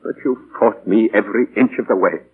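A man's voice: on the low side, brisk, breathy edge. A man playing a doting, idealistic father and novelist in 1940s radio drama, speaking earnestly and theatrically, hesitant when moved. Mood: pleading, bitter. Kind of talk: 1940s radio drama acting with diary narration. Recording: muffled old 1940 radio broadcast, music behind.

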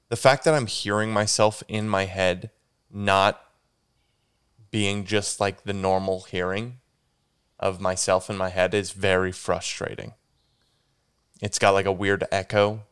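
A young man chats alone into his podcast mic, congested and a little stuffy. His voice sits low and comes through exceptionally clear. He rambles loosely and keeps backtracking mid-sentence. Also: a bit bright. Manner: rambling, self-correcting, says "dude" a lot. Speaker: podcast host. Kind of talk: casual solo podcast chat.

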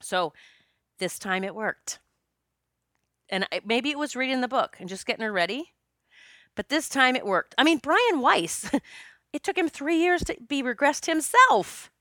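A woman's voice: sits high, very animated, unhurried, pauses often, bright, forceful tone, dry recording, clean studio sound. A woman in her fifties, a past life regression practitioner, telling stories in a chatty, joking way. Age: 50s. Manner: chatty, joking, storytelling with quoted dialogue. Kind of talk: casual spiritual monologue.

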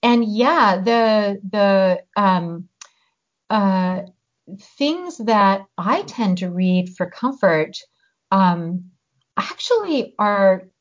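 A woman, born in Hungary, chatting as an interview guest and pausing to search for her words. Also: mid-range voice, lively intonation. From born in Hungary, raised in the US. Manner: reflective, pauses to search for words. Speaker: guest, novelist and teacher. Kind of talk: casual interview chat. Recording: slightly muffled audio.

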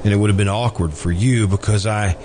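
An actor playing a mild, courteous, lovelorn murderer in an American radio drama, speaking haltingly and politely. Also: male voice, fairly low pitch, close to an everyday voice. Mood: polite, calm. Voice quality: hesitant, halting delivery. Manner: polite, deferential, quietly stubborn. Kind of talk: old-time radio drama acting. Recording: amateur radio recording, clearly noisy background.

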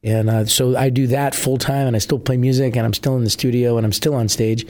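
Husky middle-aged man chatting. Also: mid-range voice, level tone, a little fast, darkish tone. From New Jersey. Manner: chatty, rambling, many "uh" fillers. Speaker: musician guest. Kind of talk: casual interview chat.

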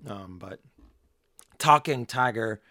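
A man in casual chat, rambling with lots of fillers and swearing, hoarse as he loses his voice. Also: on the low side, takes it slowly, bright, forceful tone, no echo, clean studio sound. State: losing his voice. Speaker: podcast co-host.

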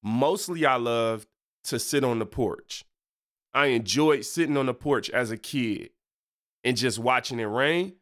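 A man's voice: mid-range voice, measured pace. Guy with a stuffy nose doing a chill faith podcast, lots of slang and "you know what i'm saying". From Michigan. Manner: relaxed slangy talk with many fillers. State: congested. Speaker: podcast host and preacher. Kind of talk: casual devotional podcast talk.

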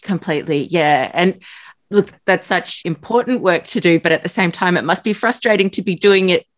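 A woman interviewer asks long, thoughtful questions in a measured way. Her voice sits a little low for a woman.

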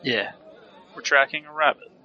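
A man's voice: fairly high pitch, normal speed, dull audio, some chatter behind, lightly acted. A man playing a blunt, needling bounty hunter in an audio drama.

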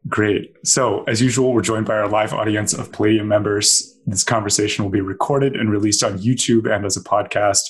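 A man with a lowish, slightly husky voice hosts an interview over a video call. He speaks in a measured, formal way as he introduces the guest and explains how the session works. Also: steady tone. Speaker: host, editor-in-chief.

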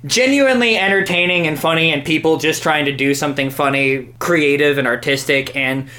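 A man's voice: a little high, fairly quick, bright, forceful tone. A man co-hosting a podcast in casual comedic chat, rambling along with swearing and hyperbole. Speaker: podcast co-host.

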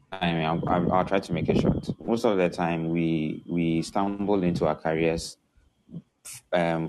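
A man with a very low voice calls in to a live talk, laying out his ideas in numbered steps. He keeps asking whether listeners get it, on a remote line with a slight hiss behind him. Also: darkish tone. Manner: methodical, numbers his points and checks understanding. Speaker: caller giving input. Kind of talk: casual explanatory talk. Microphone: remote call-in line.

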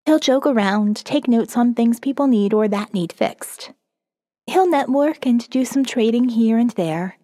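A woman's voice: a little high, expressive, unhurried, mellow, dry recording, clean studio sound, long reverb tail. A woman reading as a sardonic young college student narrating her survivor's diary in a wry, profane, conversational way for an audio novel. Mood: wry, confiding. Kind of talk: first-person diary narration, dramatic reading.

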